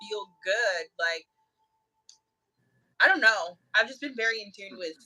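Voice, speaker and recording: A woman co-hosting a relaxed conversation, who works in mental health, laughs often and acts out things she once said. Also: medium pitch, measured pace, low-quality recording. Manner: laughs often, acts out her own past remarks. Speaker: co-host, mental health worker. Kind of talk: casual conversation.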